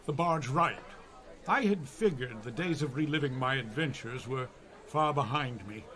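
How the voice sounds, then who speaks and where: A man's voice: medium pitch, animated, moderate pace, a bit bright, lightly acted. A man playing an old, seasoned dwarf fighter in a comedy radio play, plain-spoken and avuncular. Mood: reminiscing.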